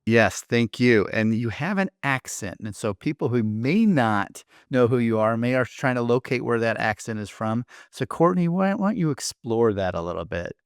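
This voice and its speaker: A man's voice: mid-range voice, lively intonation, moderate pace, hardly any pauses, clear, resonant tone, dry recording, clean studio sound. A man hosting a podcast, introducing his co-host and guest in a friendly, rambling way with many restarts.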